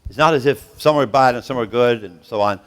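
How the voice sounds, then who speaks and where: A man's voice: mid-range voice, a bit bright, smooth. Man lecturing with preacherly rhythm. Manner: rhythmic, preacherly, with dramatic slow pauses and sudden rises; asks the audience questions. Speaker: guest professor and speaker. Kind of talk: interactive workshop lecture. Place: lecture hall with an audience.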